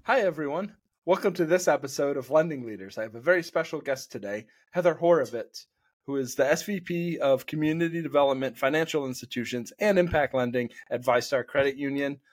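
A man's voice: medium pitch, fairly quick. A man hosting a podcast interview, speaking in a friendly, loose way with many fillers. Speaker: podcast host.

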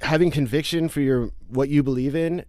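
Man, podcast guest, fairly high voice, reflective and casual, swears, dry close mic. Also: a little fast, a little mellow, no echo. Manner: reflective, casual, swears, often asks "you know what I mean?".

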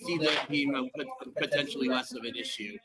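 A man's voice: mid-range voice, steady tone, a little fast, over a noisy crowd. A man co-hosting a live wrestling podcast, making confident, dry predictions in casual chat. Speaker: podcast co-host.

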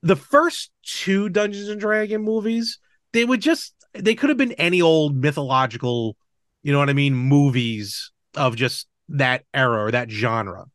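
A man's voice: a little high, measured pace, fairly bright tone, dry recording, clean studio sound. A middle-aged man hosting a casual movie podcast, chatting in a rambling way with conversational asides. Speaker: podcast host.

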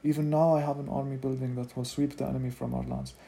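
A man's voice: mid-range voice, level tone, quick pace, creaky, mellow, natural voice. A man voicing a rakish, charismatic male rebel leader giving a declamatory rallying speech. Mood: stormy.

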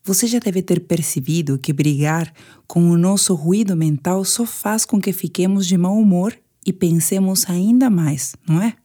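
A woman's voice: fairly low pitch, normal speed, warm tone. A woman with a Brazilian Portuguese accent guides a sleep meditation in a soothing, instructive manner.

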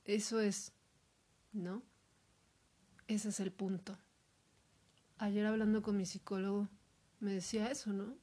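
A woman talking alone in a hesitant, reflective monologue, full of fillers and pauses. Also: mid-range voice, level tone, mellow. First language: Spanish. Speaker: podcaster. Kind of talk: personal monologue.

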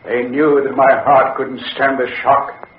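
An actor playing a vengeful old man risen from the grave, measured and coldly gloating, in 1940s radio horror style. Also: mid-range voice, level tone, slight background noise, natural voice. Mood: grim vengeance. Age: older. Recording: echoey, tinny vintage radio recording.

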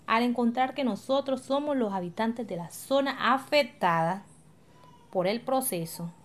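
A calm woman community leader acted for a radio drama, speaking in a fairly high voice. She explains things patiently and reassuringly, with assured, orderly delivery. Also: measured pace, close to an everyday voice. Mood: explanatory.

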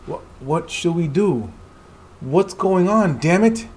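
A man's voice: on the high side, animated, slow pace, a little mellow, pitched above the performer's own voice. A man voicing anxious, wary dungeon hunters as he reads comic dialogue aloud dramatically. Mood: alarmed.

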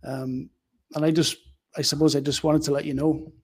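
A man's voice: on the high side, level tone, moderate pace, a little mellow, husky. A man hosting a conversational interview, speaking earnestly and feeling his way through his phrasing. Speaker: podcast host, interviewer.

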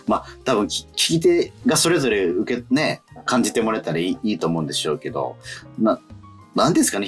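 A man hosting a radio show in casual, polite and expressive talk, reading out listener letters. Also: sits low.

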